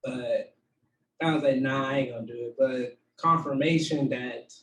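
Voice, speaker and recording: A man teaching a casual Bible study to a small group, with a low voice and plenty of fillers. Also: steady tone, normal speed, fairly bright tone. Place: room with a small group, also streamed online. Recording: echoey room, far from the mic.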